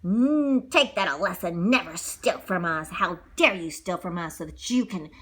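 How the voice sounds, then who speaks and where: A woman's voice: on the low side, animated, normal speed, a bit bright, husky, pitched below the performer's own voice. A woman doing a cold, scolding stepmother. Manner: scolding, haughty. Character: cold stern stepmother, middle-aged woman.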